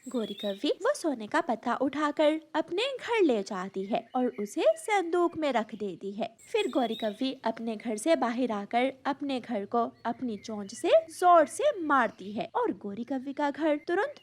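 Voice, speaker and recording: A woman's voice: high-pitched, sing-song, measured pace, few pauses. A woman narrating a scripted children's cartoon story.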